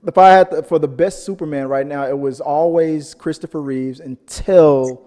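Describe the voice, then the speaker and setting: A man's voice: a little high, fairly even intonation, moderate pace, mellow. A man hosting a casual chat show about audience polls, rambling and often repeating his words. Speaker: host of a panel show. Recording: long echoey hall reverb.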